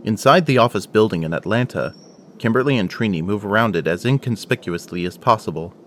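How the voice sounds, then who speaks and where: Deep-voiced man narrating an audio drama. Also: lively intonation, fairly quick, a bit bright, a little hiss or hum. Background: prominent music.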